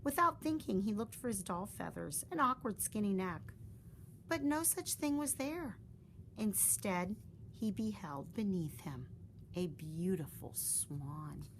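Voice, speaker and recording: A woman reading a children's fairy tale aloud in a gentle, expressive storytelling manner. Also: mid-range voice, takes it slowly, slight background noise, low-quality recording.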